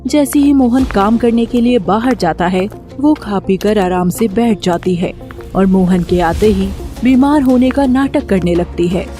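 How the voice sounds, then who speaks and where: Mellow woman narrator, medium pitch. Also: measured pace, faint music behind. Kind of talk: scripted story narration.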